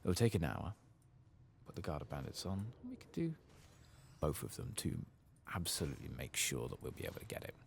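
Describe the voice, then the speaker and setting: Very deep, creaky, soft-spoken man. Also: wildly swooping pitch, fairly quick, slight background noise. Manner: casual, muttering to himself, thinking aloud, mild swearing. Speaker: gaming video host. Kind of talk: soft-spoken ASMR playthrough commentary, partly whispered.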